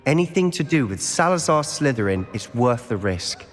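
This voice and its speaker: An actor playing a stubborn, driven teenage student wizard in a video game. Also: male voice, mid-range voice, sing-song, moderate pace, soft background music, lightly acted. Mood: defiant. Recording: long hall reverb.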